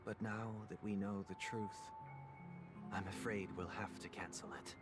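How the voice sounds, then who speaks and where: Game role for a man: devout, troubled young leader, unhurried, grave and formal, music underneath. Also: on the low side, fairly even intonation, natural voice. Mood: composed, weary. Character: solemn, refined, pious young male leader, troubled. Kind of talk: video game voice acting.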